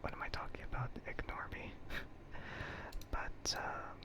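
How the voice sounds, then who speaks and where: ASMR livestream host: breathy whisper, unhurried, hesitant and self-deprecating, casual rambling chat.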